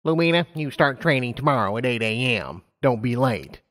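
A weary female personnel director from a pony storybook, played by a man. The delivery is curt and reluctant, with an exasperated edge. Pitch is middling and varied, and the sound is dry. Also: expressive, moderate pace, fairly bright tone, no room sound, natural voice. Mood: resigned. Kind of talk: acted audiobook character.

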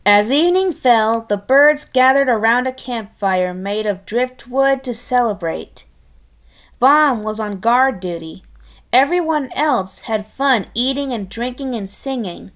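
A woman reading a children's story aloud in an expressive, halting way, with casual chatty asides. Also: on the high side. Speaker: storybook reader.

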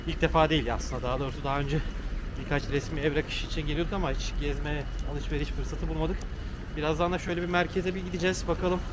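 Quick-talking man, higher voice, noisy background. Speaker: travel vlogger. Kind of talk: casual chat while out on a trip. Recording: noisy outdoor and car ambience. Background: street and vehicle noise.

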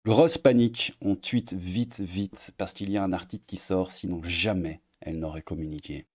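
A man in his thirties with a Belgian French accent, talking in a casual, sarcastic commentary vlog from his home desk and jumping into high mocking tones. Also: normal speed, breathy edge. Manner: sarcastic, animated, swings pitch up for mock emphasis. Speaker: YouTuber commentator.

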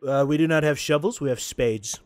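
A man's voice: on the high side, fairly quick, darkish tone, no echo, close to an everyday voice. A man doing an impression of a brash, repetitive older male politician on a prank call. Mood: hesitant, polite. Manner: repetitive, emphatic salesman-like phrasing. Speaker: prank caller. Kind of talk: improvised prank phone call.

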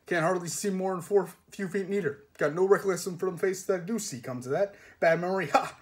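Man playing a nervous, high-pitched cart driver. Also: fast, natural voice. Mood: panicky babbling. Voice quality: wheezy. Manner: nervous, stammering, rough uneducated speech. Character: timid, babbling male cart driver in thick overcoat.

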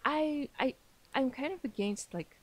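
A woman's voice: high-pitched, measured pace. A young woman, a native Armenian speaker, chatting casually in English as a podcast guest and English teacher, with many fillers, restarts and frequent laughs. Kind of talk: casual interview chat.

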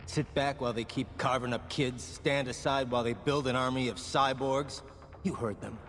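An actor playing a grim, determined cyborg soldier in a video game, terse and intense. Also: male voice, medium pitch, steady tone, normal speed, a bit bright, long reverb tail, a little hiss or hum, lightly acted. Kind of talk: video game cutscene acting.

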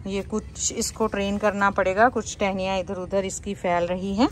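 A woman's voice: medium pitch, flat delivery, moderate pace. A woman casually narrating a garden tour as a vlogger.

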